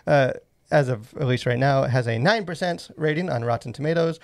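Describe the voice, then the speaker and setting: Creaky-voiced man, podcast host, animated, full of asides, close studio mic. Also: medium pitch, a little fast. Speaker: podcast host and movie reviewer. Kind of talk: casual podcast chat. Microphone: close boom-arm studio mic.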